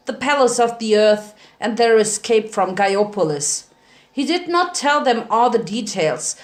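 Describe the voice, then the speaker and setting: Female narrator, medium pitch, dramatic storytelling read for amateur fiction. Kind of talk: dramatic reading of fan fiction.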